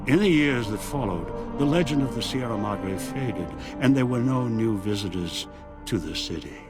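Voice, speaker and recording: An actor narrating a video game epilogue as a grave, measured storyteller of a ruined wasteland. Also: male voice, mid-range voice, lively intonation, lightly acted. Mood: somber, ominous. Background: music.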